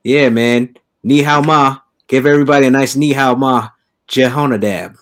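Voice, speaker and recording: A man's voice: mid-range voice, steady tone, normal speed. A man hosting a casual podcast, teasing his co-hosts and laughing often. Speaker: podcast host.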